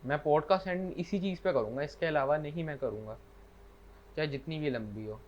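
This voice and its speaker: A man's voice: fairly high pitch, brisk. A man co-hosting a podcast, chatting casually and reciting bits of verse over an online call. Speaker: podcast co-host. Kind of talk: casual chat, reciting couplets. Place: remote internet call. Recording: dull lo-fi online call, faint noise.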